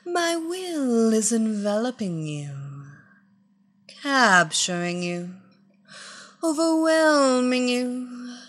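Woman doing young female hypnotist, storybook reading, very slow, coaxing, commanding, lively rising and falling voice. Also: fairly high pitch, exaggerated voice.

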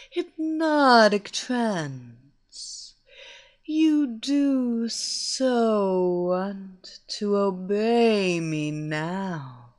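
Sultry american hypnotist lady, super slow breathy hush, swoops up and down, a woman putting her on. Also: medium pitch. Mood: commanding. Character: sultry adult female hypnotist, seductive and commanding.

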